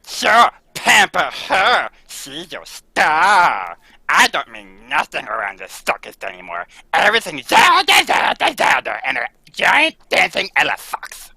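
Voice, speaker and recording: Man voicing a spiteful has-been cat trainer, radio play, shouting, lively pitch. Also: measured pace, creaky, slightly rough, dry recording, heavily acted, low-quality recording. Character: jealous fading female circus cat trainer. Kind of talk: 1940s radio acting.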